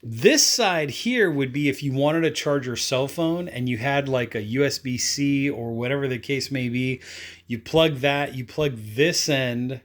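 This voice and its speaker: A man with a medium-pitched voice presents a hands-on product review. He speaks informally and without a script, rambling a little as he explains each step and talks through his actions aloud. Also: measured pace. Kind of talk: casual unscripted product demonstration.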